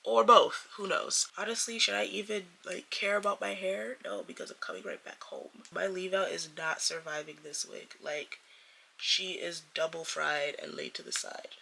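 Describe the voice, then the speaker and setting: A chatty young woman from the Washington DC area vlogs from her parked car, talking loosely and poking fun at herself. Her voice is bright and forward, with a gravelly fry in it. A faint hiss sits under the recording. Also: on the low side, poor audio. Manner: chatty, rambling, self-mocking. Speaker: vlogger. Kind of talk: casual talking-to-camera vlog. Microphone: camera mic on a vlog camera.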